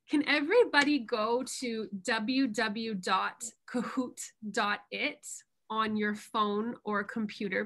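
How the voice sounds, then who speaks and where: A Canadian woman teaches pronunciation over a video call, speaking in an unhurried, encouraging way. Her voice sits a little high, and she takes her time with each phrase. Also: bright, forceful tone. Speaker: pronunciation coach. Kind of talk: online workshop lesson.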